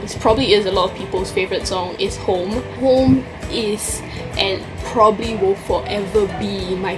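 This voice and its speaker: A young woman from Singapore with a Singaporean English accent, chatting casually through a question tag in her vlog. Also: mid-range voice. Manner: chatty, answers questions from a list. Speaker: vlogger.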